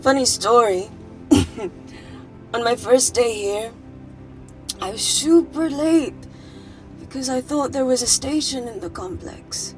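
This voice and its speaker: A woman's voice: a little high, slow, long pauses, faint music behind, poor audio. An actress playing a wounded, sardonic young woman intern in a naturalistic film drama. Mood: wistful.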